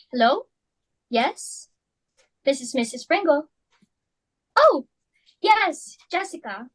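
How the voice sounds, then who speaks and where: A woman's voice: high voice, fairly bright tone, heavily acted, rough recording. A woman playing a domineering, socially ambitious mother and hostess in a dramatic reading of a stage play, with an imperious, melodramatic, scolding manner. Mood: irritated, then feigned sympathy.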